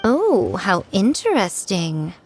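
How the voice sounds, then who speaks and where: Casting: sly, poised video game fox-woman, mid-range voice, quietly amused and teasing. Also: mid-range voice, huge pitch swings, slow pace, slight background noise. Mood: amused, intrigued.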